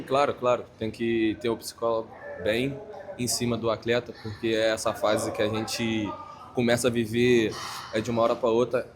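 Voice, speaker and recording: A young man in his late teens answers after a match in short, halting phrases. His voice sits on the low side. Faint noise hums in the background. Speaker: young footballer. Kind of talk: post-match interview answers.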